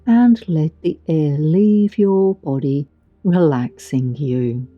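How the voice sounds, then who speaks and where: A woman guiding a meditation in a soothing, gentle, deliberate way with long pauses, recorded with a muffled sound. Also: low-pitched, dry recording. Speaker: meditation guide. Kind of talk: guided meditation narration. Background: background music.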